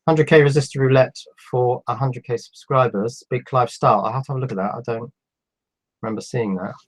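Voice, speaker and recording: A man in his fifties with a cold, reading out viewer questions on a live stream and answering them in a relaxed, halting way. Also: mid-range voice. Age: 50s. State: has a cold. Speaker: electronics YouTuber answering live chat. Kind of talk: casual live stream chat.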